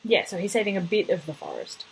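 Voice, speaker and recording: A woman's voice: mid-range voice, huge pitch swings, quick pace, gravelly, husky, rough recording. A woman co-hosting a podcast in casual chat, with wry, teasing replies. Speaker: podcast co-host.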